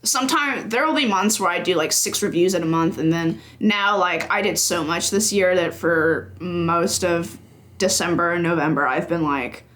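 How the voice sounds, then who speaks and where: A woman's voice: fairly low pitch, normal speed, fairly bright tone, slight background noise. A woman in her twenties, a games journalist, talking casually on a podcast with lots of "like" fillers and laughter. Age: 20s. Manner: chatty, frequent "like" fillers, laughs often.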